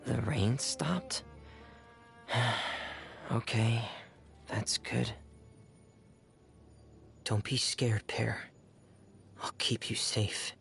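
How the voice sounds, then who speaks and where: An actor playing a shy, gloomy, gentle teenage boy diver in a video game, soft, breathy and hesitant. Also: mid-range voice, drawn-out words, long pauses, soft background music, full character voice. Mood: gentle, subdued. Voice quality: soft, breathy, near-whispered. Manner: hesitant, reserved, melancholy. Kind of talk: subdued video game character voice lines.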